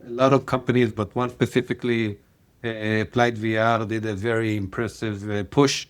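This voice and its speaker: Israeli-accented man, halting and thoughtful. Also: lowish voice, flat delivery. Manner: halting, many pauses and "uh" fillers. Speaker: guest, company founder. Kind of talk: podcast interview.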